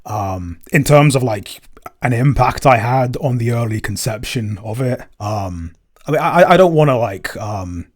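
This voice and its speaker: A man chatting casually in a behind-the-scenes Q&A as a producer and composer, with a halting, self-effacing way of talking. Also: mid-range voice, expressive.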